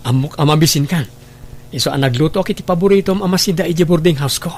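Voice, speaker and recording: A man's voice: on the high side, rapid-fire, darkish tone. A man acting in a radio drama as an eager, sweet-talking former boyfriend. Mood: affectionate.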